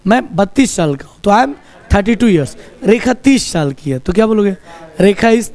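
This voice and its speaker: A man's voice: high voice, a little mellow. A man teaching spoken English in Hindi to a class, with a Hindi accent, drilling sentences and asking students to repeat them. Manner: asks the class to repeat sentences, slow and drawn-out.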